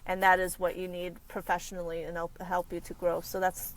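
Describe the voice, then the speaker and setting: A woman's voice: on the low side, completely flat delivery, fairly quick, a little hiss or hum, rough recording. An American woman, a career consultant, talking casually as an interview guest, rambling with many fillers and restarts. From United States.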